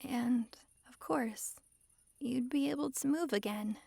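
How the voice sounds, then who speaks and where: A woman's voice: high-pitched, slow pace, vocal fry, breathy edge, no echo, studio quality, exaggerated voice. A woman playing a possessive, lovestruck fox-girl mage in an intimate ASMR roleplay, sweetly controlling and giggly. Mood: coaxing, playful. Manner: sweetly controlling, giggly, swings between gushing and hushed coaxing. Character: possessive, lovestruck young-sounding fox-girl mage, dominant yet giddy.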